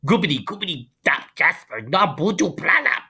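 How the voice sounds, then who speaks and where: A man's voice: a little high, very animated, normal speed, vocal fry, bright, forceful tone, slightly rough, pitched above the performer's own voice, full character voice. A man voicing a tiny, wise baby girl from a storybook, babbling in singsong nonsense baby talk. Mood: babbling, playful.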